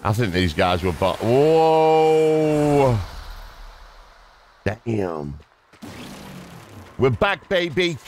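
A man hosting a gameplay video with casual, excitable, chatty reactions. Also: medium pitch, very slow, long pauses. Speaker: gamer, video host. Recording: clear background game noise.

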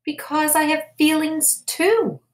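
A woman voicing a gentle, reassuring mother rabbit in a storybook, explaining things patiently and tenderly. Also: high-pitched, fairly even intonation, slow, pitched above the performer's own voice.

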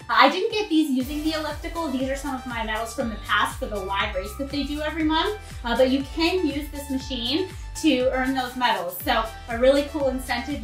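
A woman in her thirties gives a casual product review to camera. Her voice is fairly high, and the room rings around her with some music underneath. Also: fairly bright tone. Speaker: fitness equipment reviewer. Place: bare-walled home gym in a garage, indoors. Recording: echoey room, faint music behind.

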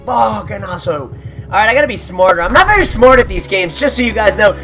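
A young man streaming a war game, loud, swearing and yelling at the action, into a headset mic in a small room. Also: high-pitched, animated, fast. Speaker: gaming streamer. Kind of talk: let's play commentary. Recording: loud game music and gunfire behind.

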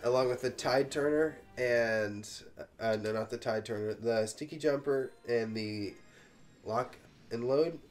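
A man's voice: medium pitch, unhurried, faint music behind. A young man with a stuffy, congested voice, chatting dryly with a friend while they play a video game. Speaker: co-host playing a video game. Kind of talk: casual gaming chat.

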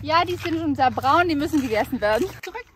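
A woman's voice: sits high, lively intonation, fast talker, background noise. A woman in her twenties chatting casually and teasingly as a vlog host, recorded on a handheld camera. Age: 20s. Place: farmhouse, stable and farmyard, indoors and outdoors. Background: music.

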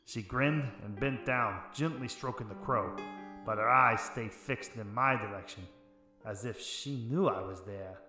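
An actor playing a hard-boiled, world-weary 1940s private investigator in a noir radio drama, with tough, wry delivery. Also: male voice, on the low side, measured pace, reverberant, over music, natural voice. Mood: wry, brooding.

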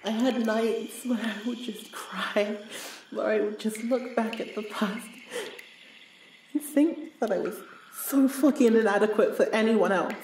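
Woman acting a slow, tearful, forgiving woman. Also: fairly high pitch, darkish tone. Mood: sorrowful confession. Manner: halting, earnest, tender. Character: sorrowful, forgiving woman reconciling with her wounded past self. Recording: roomy, distant mic, faint noise.

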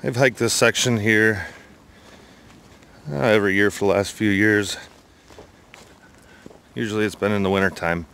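A man's voice: on the low side, steady tone, moderate pace, pauses often, fairly bright tone, clean tone. A middle-aged man casually narrating a hike in the woods, talking in an easygoing, rambling way, recorded on a handheld phone outdoors. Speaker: hiking vlogger. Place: outdoors in a forest.

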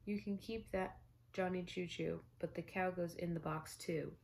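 A bossy, exasperated mother from a picture book, read by a young woman. She scolds firmly in a lowish, gravelly tone. The delivery stays close to a plain reading voice. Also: steady tone, normal speed, a little mellow, slight background noise. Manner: firm and scolding.

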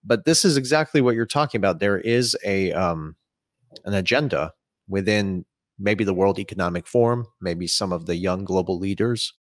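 A man in his thirties speaks in a low voice as he hosts an interview. He lays out long, opinionated questions over a video call. The sound is dry, with very little room in it. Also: moderate pace, dry recording. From Saudi Arabia. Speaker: interviewer and host. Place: small home room, indoors.

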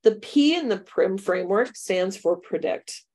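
A woman's voice: mid-range voice, expressive, measured pace, a little mellow. An American woman, middle-aged, leading a computer science workshop for teachers over a video call, chatty and upbeat and laughing often. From United States. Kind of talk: webinar workshop demo. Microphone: webcam video call.

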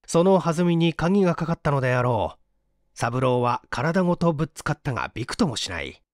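Animated male mystery story narrator. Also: a little high, fairly quick, dry recording, clean studio sound. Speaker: audiobook narrator.

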